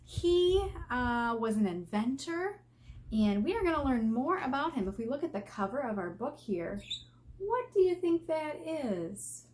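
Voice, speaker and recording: American female elementary teacher for children's read-aloud, higher-pitched, very animated, expressive teacherly delivery. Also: unhurried, a little hiss or hum. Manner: expressive, teacherly, emphasizes and repeats key words, questions listeners. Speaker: elementary teacher reading a picture book aloud.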